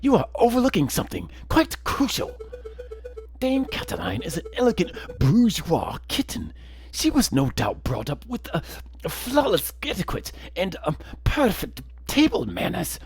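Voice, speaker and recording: A man's voice: high-pitched, wildly swooping pitch, normal speed, voice pushed up, heavily acted, rough recording. A man voicing a prim, fussy bird prosecutor in a courtroom game, hesitant and proper in his phrasing. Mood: smug, prim.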